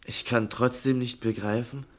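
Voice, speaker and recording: A man's voice: medium pitch, steady tone, normal speed, natural voice. An actor playing an earnest young man in a German radio drama, questioning his elder in a deferential, hesitant yet insistent way. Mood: puzzled insistence.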